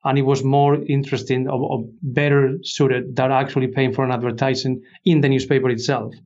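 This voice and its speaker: Male expert guest, mid-pitched, explanatory and conversational, slightly muffled lo-fi recording. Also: little pitch movement, a little fast, a little mellow. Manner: explanatory, poses questions then answers them. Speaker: interview guest, industry expert.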